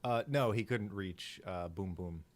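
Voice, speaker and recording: Man, fairly low voice, tabletop game player, casual live chat. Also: measured pace.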